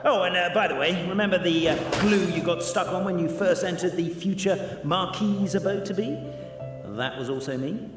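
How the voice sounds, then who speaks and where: A man's voice: high-pitched, normal speed, close to an everyday voice. A man voicing a cheeky, theatrical minion in a video game, given to stammering and mock-storybook narration. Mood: gloating. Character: cornered, cheeky, theatrical male minion narrating his own escape. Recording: echoey hall reverb, music behind.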